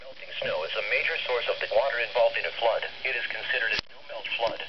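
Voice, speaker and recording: A man's voice: medium pitch, fast talker. A man with a formal, synthetic-sounding delivery reading scripted public information as a weather radio announcer. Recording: noisy, muffled weather radio broadcast.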